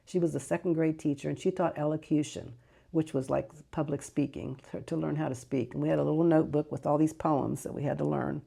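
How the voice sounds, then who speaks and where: An older woman, Greek her first language, looks back on the old days in a relaxed interview. Her voice sits low and a little gravelly, mellow in tone. She wanders from memory to memory, correcting herself as she goes. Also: a little fast. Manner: rambling, fond recollection with soft laughs and self-corrections. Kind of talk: casual reminiscing interview.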